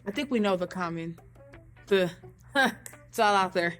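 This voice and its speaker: A woman's voice: medium pitch, a little slow, faint music behind. A woman co-hosting a live radio show, chatting in an informal, slangy, talkative way. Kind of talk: casual live radio chat.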